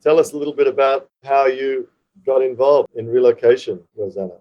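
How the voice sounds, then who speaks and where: A man's voice: medium pitch, brisk, muffled recording. A man hosting an interview podcast in a polished, courteous presenting style. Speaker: podcast host.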